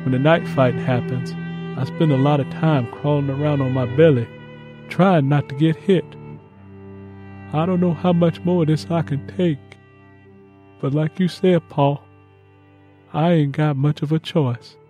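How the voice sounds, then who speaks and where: Young haunted soldier acted by a man, sombre, plain-spoken, fairly high pitch, audiobook letter, prominent music. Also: steady tone, a little slow, pauses often, dark tone, dull audio, close to an everyday voice. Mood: haunted, weary. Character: haunted eighteen-year-old soldier writing home from war. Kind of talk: letter read in a dramatized audiobook.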